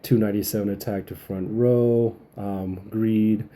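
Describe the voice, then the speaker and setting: A man's voice: lowish voice, fairly even intonation, a little slow, warm tone. A man getting over a cold, giving casual, rambling video game update commentary as a channel host.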